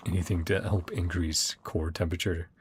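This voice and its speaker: A woman's voice: very deep voice, fairly even intonation, normal speed, noisy background. A woman game streamer giving casual let's-play commentary and reading game dialogue aloud. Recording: game music behind voice. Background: game music.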